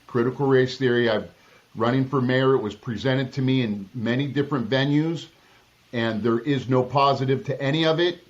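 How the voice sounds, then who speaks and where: A man's voice: medium pitch, normal speed. Blunt, emphatic man, slightly muffled. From Southern California. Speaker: political candidate. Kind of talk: interview answers.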